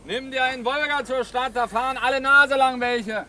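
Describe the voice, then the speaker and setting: Chatty truck driver amid road noise. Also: male voice, extremely high pitch, steady tone, moderate pace, pushed and bright, exaggerated voice. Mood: amused, teasing. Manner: folksy, talkative, tags sentences with questions. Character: chatty, teasing truck driver and family man. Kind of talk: German radio play acting. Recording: clear vehicle and outdoor noise behind.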